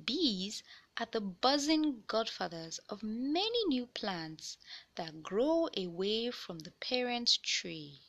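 A woman narrates a children's picture book with a very animated, swooping intonation. Her voice is bright and clear-cut, and she takes her time over each phrase. The delivery is that of an expressive storyteller explaining things to young listeners. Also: on the high side, slow pace. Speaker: read-aloud narrator of children's books.

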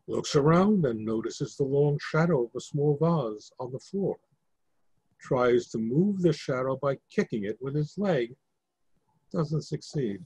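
An older man reads stage directions in a husky voice. It sits a little high for a man, and the sound is dry. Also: dark tone, no room sound, low-quality recording. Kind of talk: reading stage directions aloud. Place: indoors at home on a video call.

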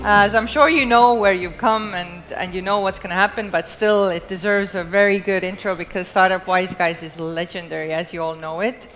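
A woman in her thirties, upbeat and energetic, introducing a speaker as event moderator. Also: mid-range voice, normal speed, few pauses, faint music behind. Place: large conference hall. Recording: reverberant hall with ambience.

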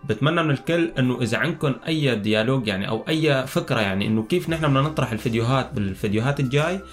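A young man hosts a language-learning video at a very quick pace. He has a Syrian accent and Arabic as his native language. Light music plays behind his mid-pitched voice. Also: animated. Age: young adult. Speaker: host of a language-learning channel. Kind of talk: video presenting and interviewing. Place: small indoor room.

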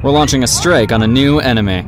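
An actor playing an unquestioning military pilot, deadpan and matter-of-fact, in a radio play. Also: male voice, mid-range voice, fast, noisy background, natural voice. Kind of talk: satirical radio play acting.

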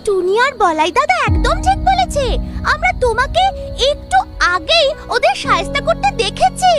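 A woman voicing an excitable, chirpy little village child in a children's cartoon. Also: very high voice, normal speed. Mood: insistent. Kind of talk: Bengali children's cartoon dialogue. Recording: music behind the voice.

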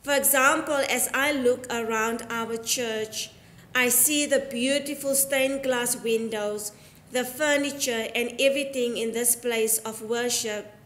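A middle-aged woman, an Anglican priest delivering a prepared sermon in a measured, deliberate, earnest way. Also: a little high, fairly even intonation, a bit bright. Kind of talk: sermon, read from prepared text. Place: inside a church, at the altar. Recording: echoey church acoustic.

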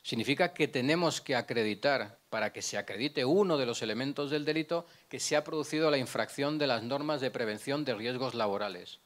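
A man's voice: medium pitch, brisk, few pauses. Man lecturing through a handheld mic. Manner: explanatory, asks and answers own questions, frequent restarts. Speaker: prosecutor giving a conference talk. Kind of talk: lecture with slides. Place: conference room, indoors. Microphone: handheld microphone.

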